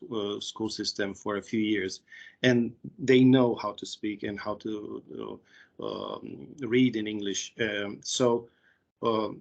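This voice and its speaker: A man in his thirties with a Romanian accent, an interpreter speaking hesitantly on a video call. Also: fairly low pitch. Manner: hesitant, many fillers and restarts. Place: small room indoors. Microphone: video call webcam. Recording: dull lo-fi video call audio.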